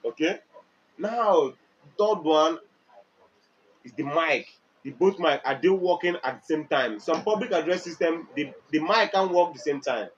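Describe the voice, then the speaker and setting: A Nigerian man with a high-pitched voice gives an emphatic product explainer. He repeats his points and puts questions to the listener. The audio is slightly muffled, with faint noise behind him. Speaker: music equipment dealer and presenter.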